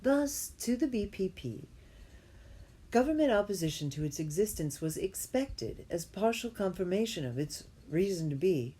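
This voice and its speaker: A woman reading an academic text aloud as an amateur, haltingly, often going back to repeat a phrase and correct herself. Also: mid-range voice, animated, dark tone, slightly rough. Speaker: reader.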